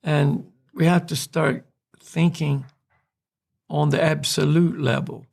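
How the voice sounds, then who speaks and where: An American man from North Carolina, a podcast host calmly explaining spiritual ideas in a reflective, unhurried way. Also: a little high, vocal fry. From North Carolina, USA. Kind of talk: spiritual discussion.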